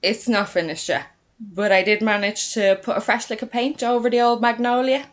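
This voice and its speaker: Woman acting an excitable, breezy Irish sister. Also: a little high, normal speed, lightly acted. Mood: proud.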